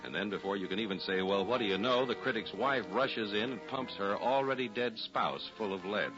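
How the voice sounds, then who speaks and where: A man's voice: on the low side, brisk. A male radio announcer reading scripted narration and advertising in a polished, emphatic 1940s style. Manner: polished, emphatic salesman delivery. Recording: dull 1940s radio recording with music.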